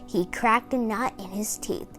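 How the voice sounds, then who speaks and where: A woman's voice: on the high side, unhurried, over music. A woman storyteller reading a children's picture book aloud as a bedtime story.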